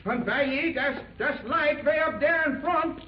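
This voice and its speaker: An actor playing a rough-spoken, blunt male expedition guide in a 1930s radio serial, on a dull old recording. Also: very high-pitched, measured pace, echoey room, background noise. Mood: eager. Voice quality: rough ungrammatical speech.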